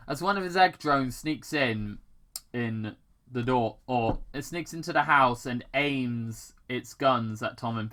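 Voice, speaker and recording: An amateur reader narrating fan fiction aloud in a halting way, stumbling and repeating words. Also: medium pitch, a bit bright. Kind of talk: amateur story read-aloud.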